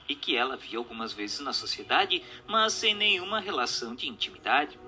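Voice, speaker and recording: A man with a Brazilian Portuguese accent reads a book aloud as a narrator, in formal, measured, dramatic storytelling. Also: medium pitch, sharp tone. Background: music.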